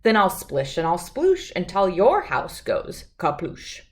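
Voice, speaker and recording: A woman's voice: on the low side, huge pitch swings, a little slow, exaggerated voice. A woman voicing a menacing male gar fish, a storybook villain who grumbles and growls singsong threats. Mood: grumbling threat.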